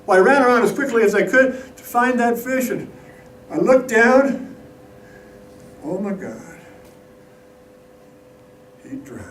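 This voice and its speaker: A distant, echoey recording of a man performing a tall tale on stage. His voice is high and swings widely in pitch. He tells the story in a folksy, theatrical way. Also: slow, pauses often. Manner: folksy, animated, acts out dialogue. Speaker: contest speaker telling a tall tale. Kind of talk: theatrical humorous storytelling to a live audience. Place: indoor meeting room at a live event. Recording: echoey room, distant mic, background noise.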